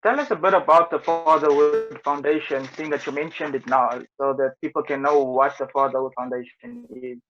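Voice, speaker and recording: A man's voice: fairly high pitch, steady tone, fairly quick, hardly any pauses, fairly bright tone. A man with a South African accent hosting an interview in a conversational style. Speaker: interview host. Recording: dull sound with music behind.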